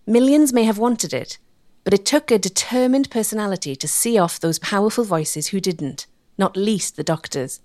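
A woman with a mid-pitched voice narrates a documentary. Her delivery is measured, scripted and articulate, in a very dry recording. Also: a little mellow, no room sound.

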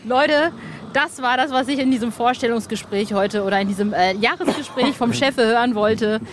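A woman's voice: fairly high pitch, normal speed, a little hiss or hum. A woman in her forties talking casually and effusively as a podcast host, in an informal, colloquial way. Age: 40s. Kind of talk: casual chatty podcast talk.

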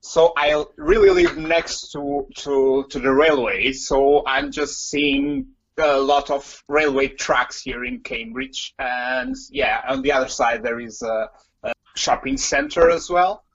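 A man in his late thirties from Portugal, with a Portuguese accent and Portuguese as his first language, answering interview questions from home, halting and repeating words as he searches for them. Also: mid-range voice, a bit bright, lo-fi sound. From Porto, Portugal. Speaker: guest comedian. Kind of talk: remote interview.

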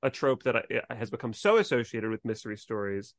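A man hosting a podcast in a casual discussion, with a measured, thoughtful and slightly hesitant way of phrasing things. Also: medium pitch, animated, no echo, studio quality. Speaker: podcast host.

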